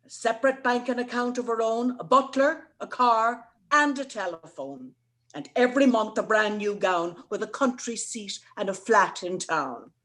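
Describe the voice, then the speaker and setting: A woman playing an indignant, sharp-tongued young woman in a theatrical, scornful dramatic reading of comic verse. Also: fairly high pitch, expressive, moderate pace. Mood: mocking. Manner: scornful, theatrical, emphatic delivery.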